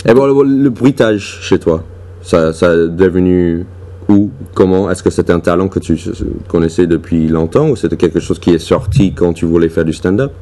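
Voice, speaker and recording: Deep-voiced host, nudging with short agreements. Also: male voice, moderate pace, dark tone. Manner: encouraging, frequent short agreements. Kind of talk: casual interview.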